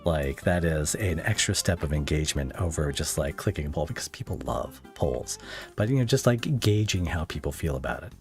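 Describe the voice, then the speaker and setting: A man's voice: a bass voice, expressive, a little fast, a little mellow, soft background music. A man hosting a podcast interview in casual, unscripted, somewhat tentative conversation.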